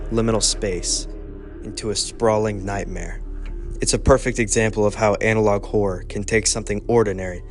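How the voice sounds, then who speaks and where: A man narrating a scripted video essay in a measured, even way. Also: on the low side.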